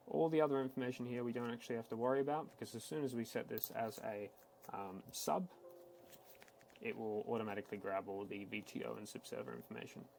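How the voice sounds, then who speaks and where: A man giving a casual, matter-of-fact, step-by-step software walkthrough, speaking unscripted like a tech support instructor. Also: medium pitch, gravelly, a little hiss or hum. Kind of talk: screen-recorded software tutorial, unscripted walkthrough.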